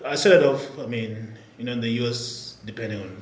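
A man's voice: medium pitch, a little fast. A middle-aged man with a Kenyan accent telling his story casually as a podcast guest. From Nairobi, Kenya. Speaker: podcast guest, tech product executive. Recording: echoey room with faint background noise.

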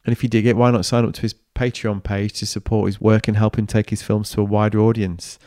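Male podcast host, lowish smooth voice, chatty, upbeat banter. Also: level tone, fast, mellow, no room sound, clean studio sound. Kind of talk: casual chat and solo wrap-up.

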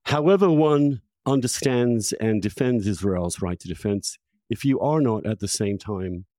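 Low-voiced man, effusive podcast host, close and dry. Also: mellow, no echo. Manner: effusive, halting, emphatic, repeats words for stress. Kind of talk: conversational interview with long personal introduction.

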